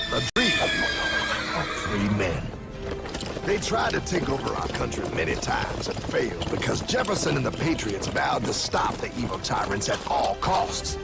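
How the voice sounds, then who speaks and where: An actor playing a fervent, theatrical patriot man in a cartoon, declaiming with urgency and drama in a gravelly voice. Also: mid-range voice, very animated, normal speed. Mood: earnest. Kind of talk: animated cartoon acting. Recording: loud music behind the voice. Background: loud music.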